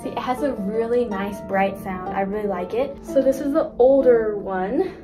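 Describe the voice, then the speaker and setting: A woman's voice: on the high side, normal speed, loud music behind the voice. A young woman with a stuffy, congested voice chatting in a bubbly, giggly way, filmed on a phone in a practice room. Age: young adult. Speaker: harp student vlogger. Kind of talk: casual vlog walkthrough. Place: university harp practice room, indoors. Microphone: handheld phone camera, moving around. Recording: distant phone mic with harp playing.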